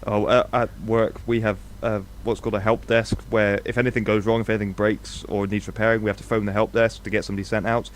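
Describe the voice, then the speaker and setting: A man from Scotland without a Scottish accent, talking excitedly and swearing in a casual podcast chat. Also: lowish voice, fast talker, hardly any pauses, slight background noise. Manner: animated, swears, repeats himself. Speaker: podcast co-host. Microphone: podcast microphone.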